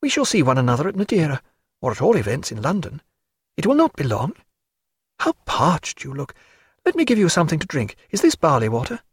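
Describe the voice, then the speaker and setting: A man voicing an elegant, lively woman who chatters fondly in a raised, breathless voice. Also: fairly high pitch, a little fast, husky, no echo, studio quality, pitched above the performer's own voice, exaggerated voice. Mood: fond, chattering. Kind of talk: audiobook character voice.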